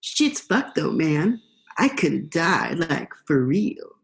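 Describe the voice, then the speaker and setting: A woman's voice: low voice, sing-song, slow pace, creaky, heavily acted. A young woman in a student radio play, playing a laid-back, vengeful male eel with a casual, slangy drawl. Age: young adult.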